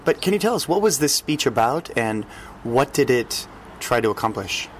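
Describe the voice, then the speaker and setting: A man hosting a politics podcast, reading a scripted intro with a hoarse voice, then interviewing a guest. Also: mid-range voice, big pitch swings, moderate pace, a little hiss or hum. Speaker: podcast host.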